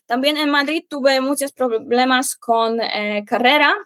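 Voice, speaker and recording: A young woman from Poland with a Polish accent, a native Polish speaker answering interview questions in a halting way as she searches for words. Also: high-pitched, a bit bright, dry recording, clean studio sound. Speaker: guest, Spanish teacher.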